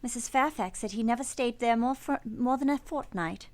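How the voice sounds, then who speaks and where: An actress playing a grave, reserved, plain-spoken young governess in a radio play, earnest and polite. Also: female voice, fairly high pitch, normal speed, natural voice. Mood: uneasy, earnest. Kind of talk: radio play acting and first-person narration.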